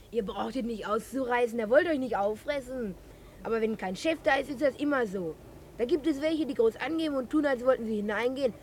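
Actress as taunting grumbling child, high-pitched, mocking, radio drama, faint background noise. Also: female voice, a little fast, darkish tone, natural voice. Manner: mocking, complaining. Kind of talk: radio play acting.

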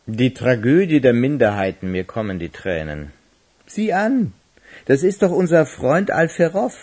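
A man's voice: medium pitch, sing-song, unhurried, darkish tone, slightly rough. A man playing a cynical, sneering criminal accomplice in a radio crime drama, sarcastic and theatrical. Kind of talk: German radio play acting.

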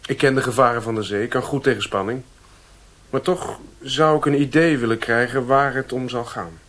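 An actor playing a restless adventurer in a radio play, earnest and direct. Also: male voice, medium pitch, moderate pace, fairly bright tone, lightly acted. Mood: eager, wary. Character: restless adventurer eager for a dangerous job. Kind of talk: radio drama acting.

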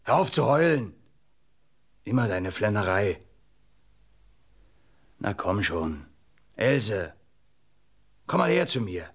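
A man's voice: fairly low pitch, sing-song, slow, pauses often, breathy edge, exaggerated voice. An actor playing a proud, impatient young fisherman husband in a radio play, brusque and dismissive in manner. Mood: gruffly soothing. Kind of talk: German radio play acting.

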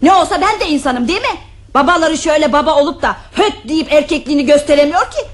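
Exasperated maid in radio theatre: high-pitched, emphatic, full of complaint. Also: female voice, lively intonation, fast talker. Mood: indignant. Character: fed-up, indignant housemaid who is quitting. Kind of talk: Turkish radio theatre acting.

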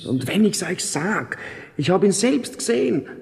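An actor playing an excitable, gossipy army officer with an Austrian accent in a radio play, animated and emphatic. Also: male voice, high-pitched, a little slow, gravelly, exaggerated voice. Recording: long hall reverb.

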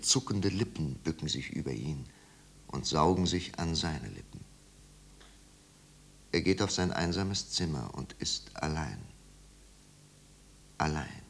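A man narrating a 1950s literary radio drama as a grave, sombre storyteller with deliberate pauses. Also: a bass voice, vocal fry, a bit bright, breathy edge, exaggerated voice.

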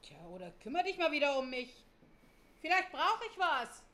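Older mother, sharp-tongued and demanding, bright high voice, radio drama. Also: big pitch swings, normal speed, full character voice. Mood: sardonic, nagging. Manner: scolding, sardonic. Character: sharp, nagging mother of a grown daughter.

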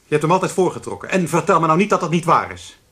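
A reproachful grown son in a radio drama. His husky voice moves in lively swings of pitch. He speaks with a sardonic, accusing edge. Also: quick pace, heavily acted. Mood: bitter, accusing. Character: bitter, reproachful adult son who feels slighted. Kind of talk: radio play acting.

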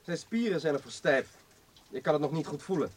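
An actor in a radio play playing a brisk, self-assured hospital surgeon with a polite, decisive, professional manner. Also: male voice, fairly high pitch, expressive, husky. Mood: businesslike.

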